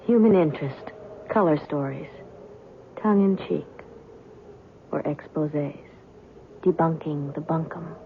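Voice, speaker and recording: A woman's voice: sits low, unhurried, vocal fry, long pauses. An actress playing a mysterious, aloof woman with a cool, curt, knowing manner in an old-time radio drama. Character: mysterious, aloof stranger calling herself a reporter, coolly knowing. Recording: tinny, band-limited old radio recording, faint noise.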